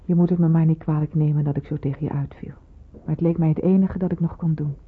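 Thin, muffled old radio sound frames a middle-aged woman as a senior nurse. Her voice is lowish and very husky. She is stern but motherly, with persuasive authority, in a radio drama. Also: fairly even intonation, quick pace. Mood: motherly, consoling. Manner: authoritative, admonishing. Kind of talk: Dutch radio play acting.

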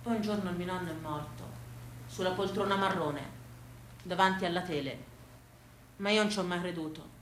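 A woman's voice: fairly low pitch, moderate pace, long pauses. A woman performing a theatrical narrative monologue, dramatic and emphatic. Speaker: actress and storyteller. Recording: very echoey room, faint noise.

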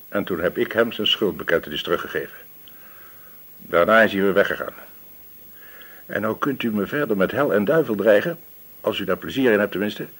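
A shifty male suspect in a radio play. His voice is fairly deep and rasps a little, and he talks evasively, protesting his innocence with wounded indignation. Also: a bit bright. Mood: grudging. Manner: evasive, protesting, smooth-talking. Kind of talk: Dutch radio play dialogue.

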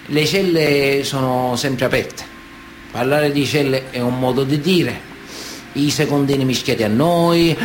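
A cocky Sicilian man of honour, spinning boastful prison tales in a slightly high voice. It is a colloquial radio play monologue with faint hiss. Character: boastful Sicilian mafioso recalling prison life. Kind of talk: theatrical radio drama monologue.

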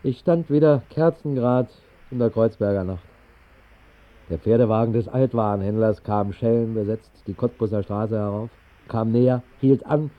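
An actor playing a whimsical night-owl who narrates an absurd dream in a radio play, with ornate, mock-solemn phrasing. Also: male voice, fairly low pitch, moderate pace, lightly acted. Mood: wry, deliberate. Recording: muffled, thin 1960s radio recording.

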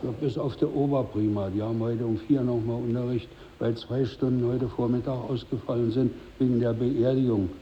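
An actor playing an unhurried middle-aged school caretaker, terse and colloquial, in a 1950s German radio drama. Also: male voice, medium pitch, fairly even intonation, slight background noise, close to an everyday voice. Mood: offhand, obliging. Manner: offhand, colloquial, terse, tag questions. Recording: dull old radio drama recording.

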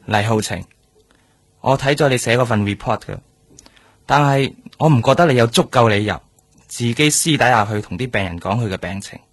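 An actor playing a stern senior hospital doctor in a radio drama, speaking Hong Kong Cantonese with some English words. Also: male voice, medium pitch, moderate pace, husky.

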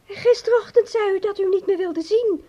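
An actress in a radio play voicing a defensive, long-serving secretary with a breathy voice. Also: female voice, very high-pitched, moderate pace, warm tone. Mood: defensive, reluctant. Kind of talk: Dutch radio play acting. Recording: dull lo-fi radio play recording.

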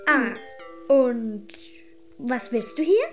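Brief: sceptical young girl, high pitch, sulky and plain-spoken, children's radio drama, light music behind. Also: lively intonation, drawn-out words. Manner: blunt, hesitant, sulky.

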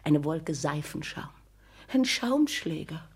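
An actress in a 1960s German radio play, playing a saucy, conspiratorial older spinster who meddles in matchmaking, teasing and confiding. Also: female voice, low-pitched, sing-song, takes it slowly, darkish tone, breathy edge, heavily acted. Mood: arch.

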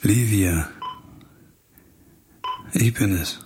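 An actor playing a hard-boiled doctor turned investigator in a German crime radio drama, ruthless yet remorseful. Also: male voice, lowish voice, extremely slow. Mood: subdued, tender. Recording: music bed under dialogue. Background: music.

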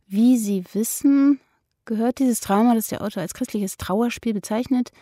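Mellow, husky woman reading aloud. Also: fairly high pitch, moderate pace, no echo, studio quality. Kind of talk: reading announcements and reviews aloud.

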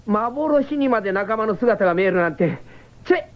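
A woman playing a cocky, comic young shapeshifting cyborg with a cheeky, excitable, theatrical delivery in a 1970s radio drama. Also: medium pitch, moderate pace, natural voice. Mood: dejected. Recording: dull 1979 radio broadcast.

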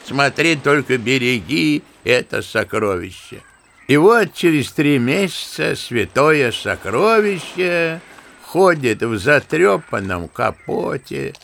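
An old-school Russian gentleman, a grandfather in an audio drama, with a heavily creaky voice and an unhurried pace. He is a talkative, disillusioned storyteller who savours each word and theatrically mimics the people he scorns. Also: medium pitch, slight background noise, full character voice. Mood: scornful. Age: older.

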